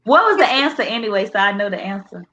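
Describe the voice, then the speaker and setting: A woman's voice: medium pitch, expressive, quick pace, sharp tone, lo-fi sound. A woman with a Texas accent, chatting as a guest in a sassy, playful way with a drawl. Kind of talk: casual chat.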